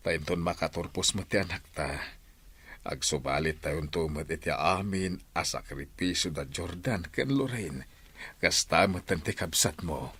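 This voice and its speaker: A man plays a sober, earnest father in a family audio drama. His voice is low and husky, with an Ilocano accent. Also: lively intonation, measured pace, a bit bright, no echo, exaggerated voice. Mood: hopeful, sober. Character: sober middle-aged father and husband, hopeful.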